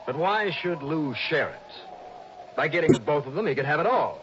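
A man's voice: fairly high pitch, animated, normal speed, natural voice. A man playing a shrewd, tough insurance investigator in a 1960s radio detective drama, clipped and assured. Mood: pressing, assured. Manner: clipped, assured, hard-boiled. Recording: dull, noisy old radio broadcast.

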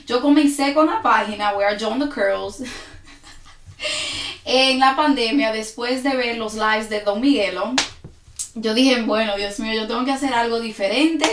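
A woman's voice: a little high, echoey room, background noise. A woman with a Dominican accent, hosting her own podcast, talks in a casual personal monologue and laughs often out of nerves. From born in New York, raised in the Dominican Republic. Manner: nervous, laughs often while talking. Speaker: podcast host.

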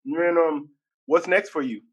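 A man interviewing a singer in a relaxed, slangy, conversational way. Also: fairly high pitch, a little slow, breathy edge. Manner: laid-back, slangy, conversational. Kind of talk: casual interview.

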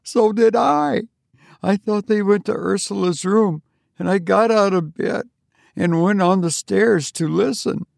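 A man's voice: sits high, a little slow, gravelly, no echo, clean studio sound, voice pushed up, heavily acted. A man voicing a miserly, grim older woman who speaks harshly and suspiciously. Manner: grim, sharp, exasperated.

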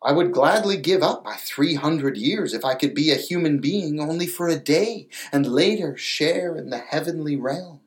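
A man's voice: fairly high pitch, animated, normal speed, few pauses, voice pushed up, lightly acted. A man voicing a wistful, yearning young mermaid girl in a storybook, with an earnest, pleading manner.

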